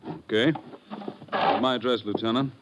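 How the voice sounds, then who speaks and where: An actor playing a breezy, affable newspaper correspondent with a casual manner in a 1950s-style radio drama. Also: male voice, on the low side, steady tone, unhurried, close to an everyday voice. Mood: polite, assured. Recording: noisy, muffled vintage radio recording.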